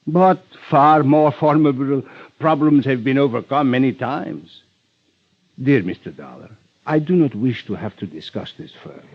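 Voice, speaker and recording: An actor playing a suave, ruthless criminal mastermind with courtly, flowery politeness, flattering and menacing in a golden-age radio drama. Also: male voice, mid-range voice, moderate pace. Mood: menacing, polite. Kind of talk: 1950s radio drama acting. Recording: dull vintage radio recording.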